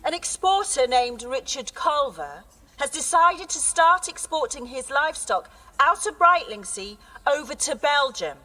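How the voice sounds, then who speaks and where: An actress playing an earnest schoolteacher who addresses a town meeting with firm, impassioned conviction. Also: female voice, high voice, expressive, unhurried, pushed and bright, far from the mic, exaggerated voice. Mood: determined. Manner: firm, impassioned public speaking. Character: earnest woman teacher rallying a town meeting. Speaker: schoolteacher leading a town campaign. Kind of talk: audio drama.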